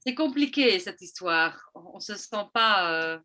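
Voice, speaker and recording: A woman guest speaks in a friendly, slightly halting way during a group discussion. Her voice is bright and sharp, at a middle pitch. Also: expressive. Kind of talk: casual discussion.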